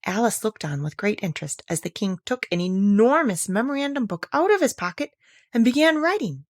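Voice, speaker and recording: A woman reading a children's story aloud as an expressive narrator. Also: fairly high pitch, a little fast, dry recording, clean studio sound. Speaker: audiobook reader.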